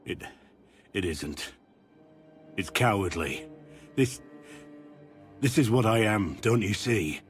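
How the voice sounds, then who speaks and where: An actor voicing a brooding, guilt-ridden middle-aged warrior in a video game, speaking gravely and formally with halting earnestness. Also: male voice, on the low side, animated, soft background music. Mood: resigned, remorseful. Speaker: video game companion character. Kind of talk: dramatic video game dialogue.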